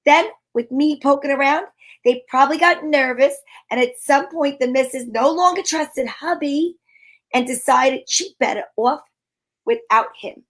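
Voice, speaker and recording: A woman playing a sharp-witted, wisecracking private detective in an old-time radio drama, talking in breezy slang. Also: high-pitched, measured pace. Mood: wry, explaining.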